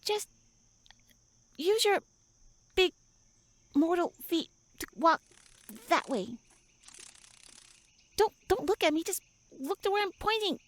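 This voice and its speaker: High-pitched pouty tiny elf. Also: female voice, expressive, takes it slowly, long pauses, exaggerated voice. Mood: pouty, flustered. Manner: flustered, indignant, huffy, stammering. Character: tiny pouty tsundere elf woman with little wings. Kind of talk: roleplay audio drama addressed to the listener.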